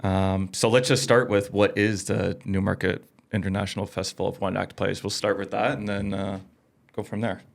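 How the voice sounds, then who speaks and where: Guy hosting a podcast, kinda deep with lots of vocal fry, casual questions. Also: flat delivery, normal speed. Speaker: podcast host. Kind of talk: casual interview.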